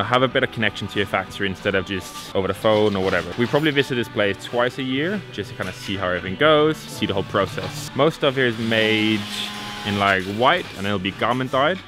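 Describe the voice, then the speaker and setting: A man's voice: lowish voice, fast, over music. A man in his twenties talking casually and informally to camera as a vlogger, sometimes swearing. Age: 20s. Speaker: vlogger and clothing brand owner. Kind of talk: casual vlog talk to camera.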